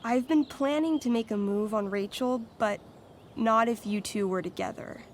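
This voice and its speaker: A woman's voice: a little high, normal speed, slight background noise, lightly acted. A woman playing a friendly, candid, considerate teenage girl in a video game, chatty and earnest. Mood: careful, earnest. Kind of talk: naturalistic video game acting.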